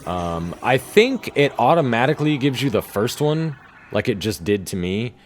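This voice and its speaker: A man's voice: mid-range voice, big pitch swings, normal speed, slight background noise. A man giving casual, laid-back and sometimes sweary commentary over his own video game play. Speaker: gamer narrating his own gameplay video.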